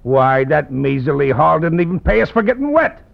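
A gravelly outlaw henchman. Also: on the high side, big pitch swings, moderate pace, full character voice. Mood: grumbling. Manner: rough cowboy drawl. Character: grumbling western outlaw henchman. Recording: muffled old radio recording.

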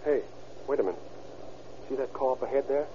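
Actor as a fast-talking, jittery male informant. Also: medium pitch, lightly acted. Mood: uneasy. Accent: American. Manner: jittery, insistent. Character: cowardly, nervous male police informant. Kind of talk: 1930s radio drama acting. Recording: dull, hissy old radio recording.

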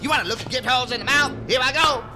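An actor, a man, playing a brash, scolding but gruffly kind street dweller in a science fiction audio drama, sassy and slangy. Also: very high voice, racing, music in the background. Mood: taunting, coaxing.

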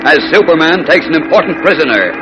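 Old time radio announcer guy, urgent and dramatic, highish voice, loud music behind him. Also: animated, fast talker, dull audio. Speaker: announcer and narrator. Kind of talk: 1940s radio serial narration. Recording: vintage radio recording under loud music.

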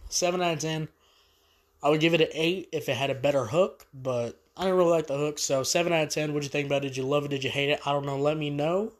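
A man's voice: a little high, steady tone, fast. A man with a stuffed-up voice from allergies, casually reviewing a song as host, rambling and repeating himself in easy slang.

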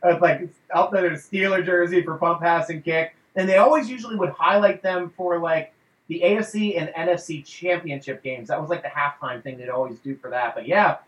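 A man hosts a relaxed fan talk show on a video call. He rambles through fillers and laughs between thoughts. His slightly high voice carries a roomy echo. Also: a little fast, highs cut off. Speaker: podcast host.

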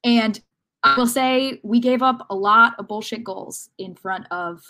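A woman's voice: on the high side, measured pace, pushed and bright. A woman talking casually and analytically as a sports podcast panelist, often hesitating and rephrasing as she goes. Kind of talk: casual football discussion.

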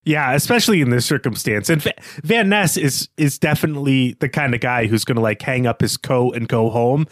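A man's voice: mid-range voice, a little fast, few pauses, dry recording. Man in a dry, close podcast recording. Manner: reflective, analytical. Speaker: podcast co-host. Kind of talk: literary discussion podcast.

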